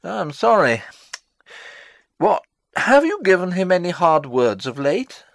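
An actor playing a fussy, self-assured older royal counsellor in a classical drama reading, wordy and pompous. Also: male voice, high-pitched, big pitch swings, a little slow, vocal fry, no echo, exaggerated voice. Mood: urgent, regretful.

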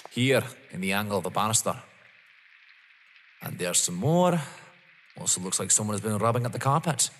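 A man acting in an audio drama as a dogged, stern police inspector, pointedly courteous, with a rough, husky voice. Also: on the low side, lively intonation, moderate pace, a bit bright, slight background noise. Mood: accusing. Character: dogged, stern male police inspector, dryly polite and accusing. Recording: long echoing hall reverb.

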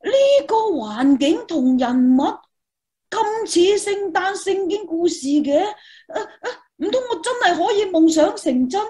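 Woman acting an eager, high-pitched young student reporter. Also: unhurried, exaggerated voice. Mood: hopeful, wondering. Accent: Hong Kong Cantonese. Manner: exclamatory, expressive. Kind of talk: Cantonese radio drama dialogue.

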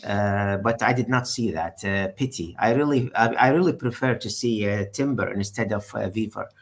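A middle-aged man on a video call giving careful football opinions, with a deliberate, slightly formal way of speaking and many pauses. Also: on the low side. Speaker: co-host.

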